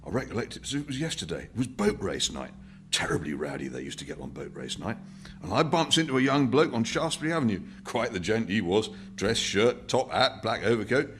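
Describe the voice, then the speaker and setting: A man's voice: fairly low pitch, very animated, fairly quick, fairly bright tone, slight background noise, pitched above the performer's own voice. A man voicing a garrulous elderly working-class Londoner, reminiscing in colloquial speech in a novel reading. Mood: indignant, animated. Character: rambling old working-class Londoner in a pub.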